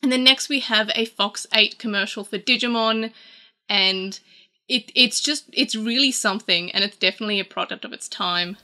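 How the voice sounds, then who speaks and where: Chatty Australian woman with a bright voice. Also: medium pitch, moderate pace. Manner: chatty, rambling with frequent fillers. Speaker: YouTube host. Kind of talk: casual chat reviewing old ads. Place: small room with toy-filled shelves.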